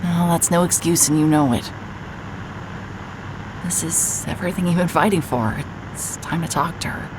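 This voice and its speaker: A woman's voice: fairly low pitch, moderate pace, pauses often, noisy background, lightly acted. An actress playing a weary, wry, guarded woman investigator in an audio drama, speaking in a hesitant, dry way. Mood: firm, urging.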